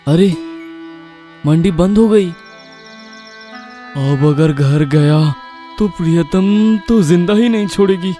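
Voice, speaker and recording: A man playing a lazy, sly, henpecked village husband in a children's cartoon story, with exaggerated, drawn-out exclamations. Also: sits high, dark tone, faint music behind. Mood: dejected.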